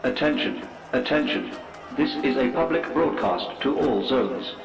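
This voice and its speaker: An actor playing a stern, impersonal dystopian broadcast announcer in an audio drama, formal, clipped and commanding. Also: male voice, medium pitch, animated, a little slow. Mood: stern, official. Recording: echoing public-address system under loud music.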